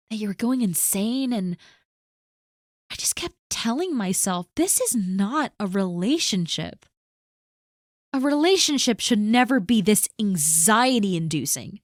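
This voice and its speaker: A woman voicing a caring, protective best friend in an audio roleplay, talking earnestly to the listener and often dropping to a soft hush. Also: fairly high pitch, dry recording, studio quality.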